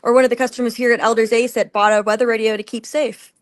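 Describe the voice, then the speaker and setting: A woman field reporter giving an informal, unscripted live report with a halting, ad-libbed delivery. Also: a little high, little pitch movement.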